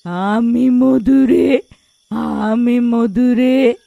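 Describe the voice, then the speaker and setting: A woman voicing a menacing, drink-craving village witch in a cartoon fairy tale, with slow, drawn-out, chanting speech. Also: a little high, fairly even intonation, creaky, dark tone, no echo, muffled recording, soft background music, heavily acted. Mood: gloating chant.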